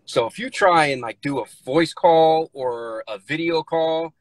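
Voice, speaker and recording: A man's voice: on the high side, a little slow, dry recording. Blunt American man on a phone call from a car. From United States. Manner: relaxed, blunt, casual phrasing. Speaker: guest. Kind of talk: casual video call chat. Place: inside a parked car. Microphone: phone video call.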